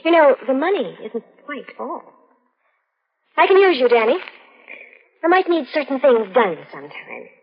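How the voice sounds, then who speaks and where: An actress playing a scheming young fiancee in a 1940s radio suspense drama, with a calculating, dramatic delivery. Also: female voice, sits high, big pitch swings, normal speed, long pauses, husky, heavily acted. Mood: cold, calculating. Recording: muffled old radio broadcast.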